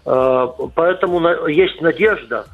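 Man on a tinny radio line. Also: on the high side. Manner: measured, explanatory, many filler pauses. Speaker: guest lawmaker. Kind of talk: radio interview answers.

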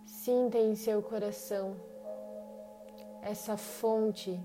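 A woman with a Brazilian accent leads a guided meditation in short, unhurried phrases separated by long pauses. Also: medium pitch, fairly even intonation, mellow. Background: meditation music with singing bowls.

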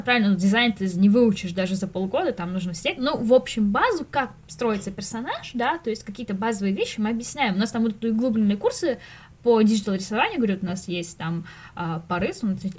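A woman chatting casually as a podcast guest, an animation teacher who tells stories expressively and often acts out quotes with laughter. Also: medium pitch. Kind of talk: casual interview chat.

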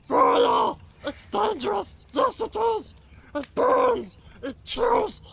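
A man voicing a wretched, whining, fretful creature in a thin, hissing, drawn-out voice for a storybook reading. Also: extremely high pitch, sing-song, fairly bright tone, voice pushed up, heavily acted. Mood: panicked, angry. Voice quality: thin, hissing, squealing, creaky. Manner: whiny, drawn-out, repetitive self-pitying wheedling. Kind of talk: dramatised audiobook character voice.

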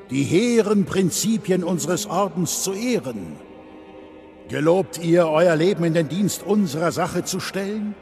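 An actor playing the solemn, grave leader of a knightly order in a game drama, speaking in ceremonial, measured oration. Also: male voice, a little high, gravelly, darkish tone, full character voice.

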